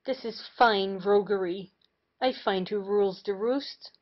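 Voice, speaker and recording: A woman's voice: medium pitch, unhurried, lightly acted. A woman playing a humiliated, bitter parson and wronged husband in an old stage comedy, theatrical in delivery. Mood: indignant. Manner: theatrical lamenting. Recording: muffled lo-fi audio.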